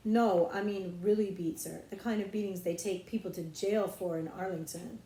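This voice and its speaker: A woman voices an earnest, knowing schoolgirl in a storybook reading. The delivery is precise and confiding, at a middle pitch, with audible room echo. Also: steady tone, moderate pace, mellow, a little hiss or hum, natural voice. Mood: grave, knowing. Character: earnest, knowing young schoolgirl who relishes secrets. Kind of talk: audiobook character voice.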